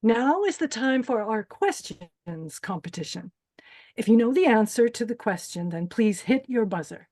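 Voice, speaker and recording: A woman's voice: fairly high pitch, sing-song, normal speed, a little mellow. A woman playing a commanding, dismissive pageant host with a sinister edge, in a screenplay table read.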